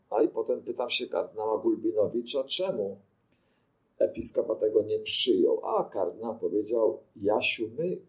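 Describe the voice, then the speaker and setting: A man's voice: on the low side, darkish tone, rough recording. An older man, a priest, giving an informal teaching talk into a handheld mic, telling anecdotes and putting rhetorical questions to his listeners. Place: small studio in front of a brick wall. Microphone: handheld microphone.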